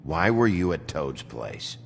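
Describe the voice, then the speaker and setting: An actor voicing a gruff, weary, intimidating sheriff in a video game, terse and blunt with a deep gravelly voice. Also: male voice, big pitch swings, slow, slight background noise, exaggerated voice. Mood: stern. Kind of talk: video game drama acting.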